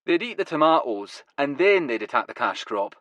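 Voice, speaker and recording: A man voicing an excitable older grower with a raspy Glasgow accent, eager and proud of his farm. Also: fairly high pitch, moderate pace, no room sound, lo-fi sound, voice pushed up. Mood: grim. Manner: eager, wild-eyed, proud.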